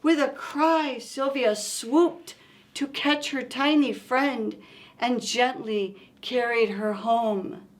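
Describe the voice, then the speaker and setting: Female storytime reader for little kids: very slow, slightly high voice, expressive and deliberate. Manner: expressive, asks listeners simple questions. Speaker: children's story-time reader. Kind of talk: read-aloud storytime for young children.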